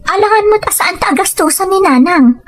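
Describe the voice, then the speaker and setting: An actress with an Ilocano accent playing a gentle, devoted, earnest family woman in a radio drama. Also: very high-pitched, animated, a little fast. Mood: caring, reassuring.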